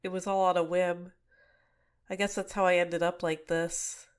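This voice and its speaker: A young woman playing a scheming, manipulative villainess in an ASMR roleplay, speaking softly and close to the mic. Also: fairly low pitch, little pitch movement, moderate pace, clean tone, natural voice. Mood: shy, apologetic.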